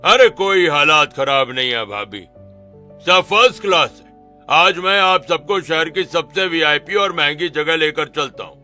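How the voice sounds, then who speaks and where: A man's voice: fairly high pitch, lively intonation, measured pace, sharp tone, faint music behind, full character voice. A man playing a scheming middle-aged villain uncle in a cartoon, oily, theatrical and falsely affectionate. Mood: jovial, taunting. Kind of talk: Hindi cartoon villain acting.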